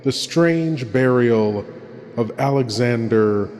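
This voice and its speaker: A man playing a ghoulish lord who hosts horror tales, speaking solemnly and intimately with portentous dramatic pauses. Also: mid-range voice, a little mellow, natural voice. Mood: ominous, portentous. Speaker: horror show host. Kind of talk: theatrical horror anthology introduction. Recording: long cavernous reverb.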